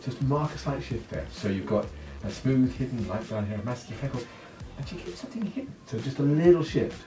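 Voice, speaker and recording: A man's voice: mid-range voice, expressive, fairly quick, far from the mic. A man producing audiobooks, giving cheerful, instructive direction. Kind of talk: studio direction. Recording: roomy, off-mic, music.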